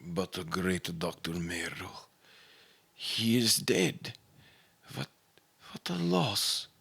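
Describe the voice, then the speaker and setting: A man plays a frail, distraught professor in a radio melodrama. He falters and stammers at a slow pace, his husky, gravelly voice swinging widely in pitch. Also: big pitch swings, fairly bright tone, full character voice. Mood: weak, grieving. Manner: faltering, stammering, repeats words. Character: frail, badly injured, distraught male professor. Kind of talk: old-time radio melodrama acting.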